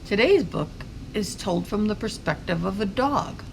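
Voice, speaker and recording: Very creaky, animated woman reading to children. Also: mid-range voice, normal speed, a little hiss or hum. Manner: simple explaining for young children. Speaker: teacher-like read-aloud host. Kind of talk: children's picture book read-aloud.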